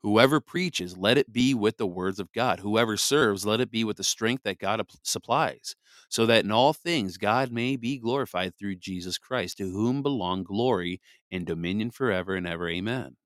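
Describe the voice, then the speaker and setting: Male podcast host from Michigan, congested from a cold, lowish smooth voice, dry close mic, filler-heavy chat, slow deliberate readings. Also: no echo. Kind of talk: scripture reading with casual commentary.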